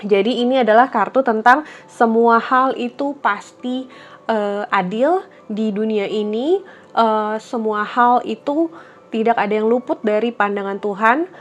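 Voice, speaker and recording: A woman talks viewers through a tarot reading in a soft, unhurried voice. Her voice sits at an average height and sounds slightly blocked and nasal from a cold. She often pauses with fillers as she thinks aloud. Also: mid-range voice. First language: Indonesian. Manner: gentle, reflective, with frequent fillers. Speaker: tarot reader.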